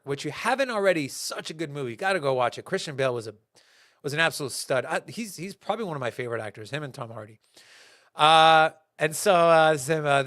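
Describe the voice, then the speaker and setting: A young man hosting a casual crypto market show, talking in animated bursts with fillers and restarts. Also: fairly high pitch, dry recording, studio quality. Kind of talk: casual market commentary to a live chat.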